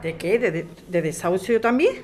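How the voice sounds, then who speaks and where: A woman's voice: medium pitch, lively intonation, moderate pace, darkish tone, natural voice. A woman playing a worried, urgent neighbour in a radio drama. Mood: shocked, urgent.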